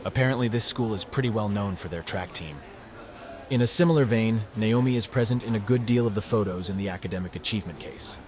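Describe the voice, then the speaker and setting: A man playing a cynical, self-deprecating high school student, narrating a visual novel in sardonic, muttering asides. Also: fast talker, a little mellow, close to an everyday voice. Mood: dry, sardonic. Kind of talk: first-person scripted narration, visual novel dub.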